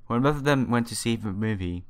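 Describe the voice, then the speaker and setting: A man's voice: mid-range voice, lively intonation, brisk, clean tone. A man doing a game playthrough, reading the story text aloud with casual asides and stumbling over some words. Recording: game music behind the voice.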